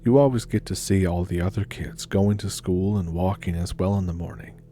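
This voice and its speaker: A man reading submitted scary stories as a storytelling host, in a measured, unhurried scripted narration. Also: sits low, mellow.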